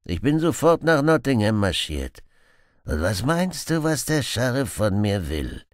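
A man's voice: medium pitch, big pitch swings, a little slow, dry recording, studio quality, pitched above the performer's own voice. A man voicing a boastful, murderous outlaw in an audiobook, grim, menacing and full of contempt. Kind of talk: audiobook character acting.

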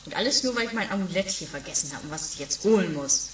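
A woman's voice: lowish voice, animated, quick pace, echoey room, distant mic, slight background noise, lightly acted. A woman playing a harried, impatient woman who mutters to herself in an improvised audio play.

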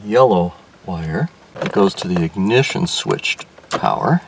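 A man's voice: sits low, animated, takes it slowly, vocal fry. An older man explaining a car radio install as he works, thinking aloud with frequent restarts and fillers, recorded inside a car in daylight. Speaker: car restoration vlogger. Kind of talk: casual hands-on explanation. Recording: distant mic with faint background noise.

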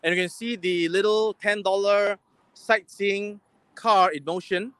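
A man's voice: high voice, steady tone, unhurried, a bit bright, smooth, no room sound. A man in his forties hosting a casual livestream while walking a busy city street, chatting and reading viewer comments, filmed on a phone at arm's length. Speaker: livestream host. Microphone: gimbal-mounted phone at arm's length. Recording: faint street noise.

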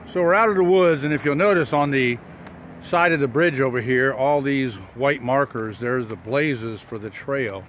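Man, casual hiking vlog, chatty to camera, mid-pitched voice, phone mic outdoors, faint traffic behind. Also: moderate pace. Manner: relaxed, chatty, talking to camera while walking. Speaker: hiker vlogger. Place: outdoors on a wooded trail and a road bridge.

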